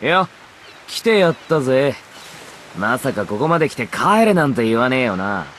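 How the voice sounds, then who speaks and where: An actor voicing a cocky young outlaw in a Japanese game, with a rough, sardonic, swaggering way of talking. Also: male voice, mid-range voice, very animated, normal speed, a bit bright, a little hiss or hum. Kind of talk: Japanese anime game voice acting.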